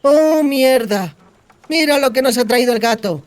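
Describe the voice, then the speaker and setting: A man's voice: extremely high pitch, animated, measured pace, pitched above the performer's own voice. A man voicing a brash, foul-mouthed, streetwise young woman who talks in cheeky slang. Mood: wary, rattled.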